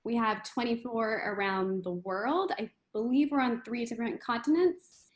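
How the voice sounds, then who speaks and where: A woman's voice: a little high, moderate pace. A woman hosting and moderating an online panel, recorded with a roomy, echoing sound. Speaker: panel host, community manager.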